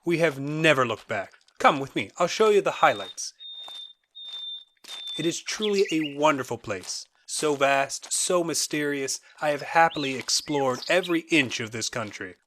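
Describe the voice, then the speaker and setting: A man playing a lively, eager moorland naturalist. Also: on the high side, measured pace, noisy background. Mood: genial, enthusiastic. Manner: theatrical, eager, ominous storytelling. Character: genial, eccentric naturalist brother, guide to a wild moor. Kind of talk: audio drama acting.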